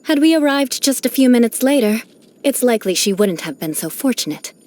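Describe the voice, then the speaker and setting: An actress voicing a proud, vengeful young noblewoman knight from a disgraced clan in a video game, with a haughty, measured delivery. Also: fairly high pitch, lively intonation, a little mellow, natural voice. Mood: composed, approving. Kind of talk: scripted video game character voice lines.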